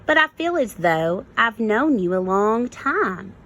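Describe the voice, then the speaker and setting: Woman reading as an earnest male storybook goose, raised pitch. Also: very animated, takes it slowly, fairly bright tone, a little hiss or hum, heavily acted. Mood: gentle, wistful. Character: gentle male goose, friendly, excited to find his friend. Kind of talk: children's storybook read-aloud.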